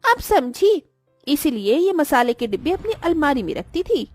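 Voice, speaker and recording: Woman voicing a very high, chirpy young sister-in-law. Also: very animated, moderate pace, no room sound, faint music behind. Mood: accusing.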